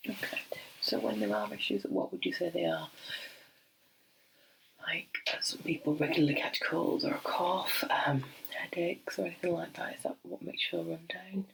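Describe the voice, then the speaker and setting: A middle-aged woman speaking softly in an ASMR doctor role play, breathy and often slipping into a whisper, gentle and unhurried, close to the microphone. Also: deep voice, low-quality recording. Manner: gentle, unhurried, hesitant pauses. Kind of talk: soft-spoken ASMR medical role play.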